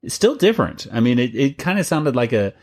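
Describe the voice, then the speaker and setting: A man's voice: mid-range voice, big pitch swings, a little fast. A man co-hosting a podcast about a cartoon, talking casually and rambling a bit with restarts and laughs. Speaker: podcast co-host. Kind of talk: casual chat.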